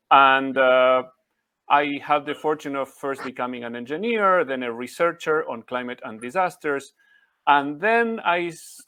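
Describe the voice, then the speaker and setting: A man's voice: a little high, a bit bright. A middle-aged man from Argentina with an Argentine accent, a native Spanish speaker, presenting as a guest in an articulate, conversational storytelling style. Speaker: guest speaker, humanitarian researcher.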